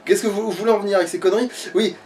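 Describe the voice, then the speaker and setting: A man's voice: high voice, fairly even intonation, very fast, a little mellow. A man chatting casually about a video game in crude, slangy language full of swearing. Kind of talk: casual gaming chat. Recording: distant from the mic.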